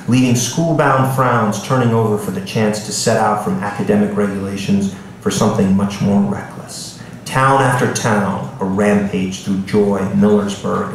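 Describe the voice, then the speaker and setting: A man, an author, giving a live literary reading at a bookstore with casual asides to the audience. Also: lowish voice. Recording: echoey room, distant mic, background noise.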